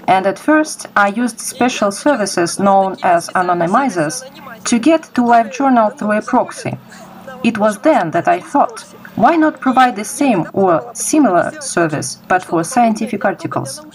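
Young woman from Kazakhstan, mid-pitched voice, informal explanatory interview delivery. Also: rough recording. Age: young adult. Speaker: interviewee, project creator.

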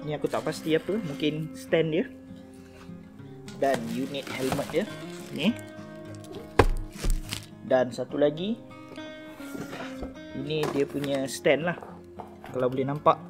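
A man's voice: on the high side, takes it slowly, pauses often, music in the background. A Malaysian man in his thirties giving casual, slangy unboxing commentary, recorded outdoors at a table mic. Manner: informal, slangy Malay mixed with English words. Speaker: toy review vlogger. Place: outdoor garden patio of a house. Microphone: camera-style shotgun mic on small tripod at the table.

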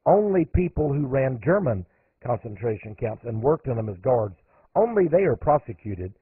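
Guy doing a radio talk show, husky voice, tinny phone-line sound, dry. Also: mid-range voice, very animated, moderate pace, no echo. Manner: emphatic, voice climbs sharply on stressed points. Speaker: radio host. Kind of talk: radio monologue.

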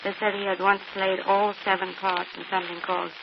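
A woman's voice: medium pitch, level tone, brisk, vocal fry. A woman guest on 1940s radio, reasoning aloud in a hesitant, informal way. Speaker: guest panelist, magazine editor. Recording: noisy dull old radio broadcast.

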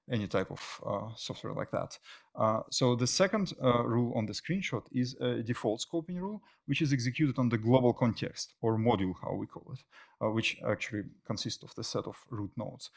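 A middle-aged man presenting technical material as a conference speaker, often pausing mid-phrase. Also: mid-range voice. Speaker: conference speaker, software engineer. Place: indoor stage at a tech meetup.

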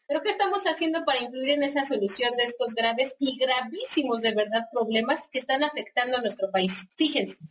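An actress playing an earnest, commanding political organizer in a radio drama, with a Mexican accent and an exhorting, scolding manner. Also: female voice, high-pitched, slight background noise. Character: earnest, bossy women's political organizer leading a meeting. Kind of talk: scripted radio drama acting.

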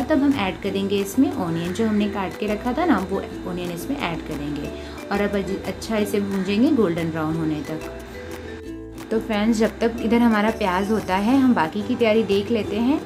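A woman with an Indian accent giving step-by-step cooking instructions as a home recipe host, often addressing listeners directly. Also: mid-range voice. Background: prominent background music.

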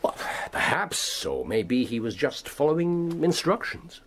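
A man with an English accent acting in a radio drama as a brilliant, unrepentant detective, speaking briskly and articulately. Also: medium pitch, very animated, a bit bright. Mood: brisk, intrigued. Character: brilliant, unrepentant, self-assured male detective.

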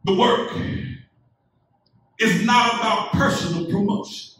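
High-voiced, slow-preaching pastor in echoey church. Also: male voice, a bit bright. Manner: measured preaching with call-and-response and rising emphatic build-ups. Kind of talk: sermon. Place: church sanctuary, indoors, medium-sized. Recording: echoey church sanctuary from a distance.

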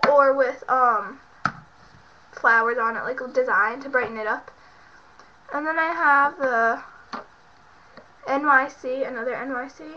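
A woman's voice: high-pitched, bright, forceful tone, slight background noise. Congested girl on a webcam. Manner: chatty, rambling, lots of fillers and self-corrections. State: sick and congested. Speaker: beauty vlogger. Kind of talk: casual product show-and-tell. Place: bedroom at home. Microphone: built-in webcam mic. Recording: muffled lo-fi webcam audio.

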